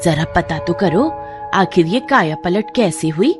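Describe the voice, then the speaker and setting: A woman's voice: lowish voice, lively intonation, a little slow. A woman voicing a nosy village wife in a cartoon story, coaxing her husband in a gossipy way, with music playing underneath. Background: background music.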